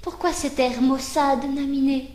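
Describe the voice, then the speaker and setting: A woman's voice: high voice, fairly even intonation, measured pace, a little hiss or hum. A woman playing a sadistic young villainess in a fan dub, sly, taunting and mock-sweet in her condescension. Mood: sly, teasing. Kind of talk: dramatic fan dub acting. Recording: roomy home dub under loud music.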